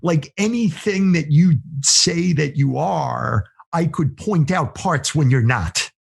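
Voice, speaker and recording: A man's voice: fairly high pitch, expressive, slow pace, gravelly, slightly rough. A man co-hosting a casual podcast, musing aloud, asking questions and teasing his co-host. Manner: musing, asks questions, teases.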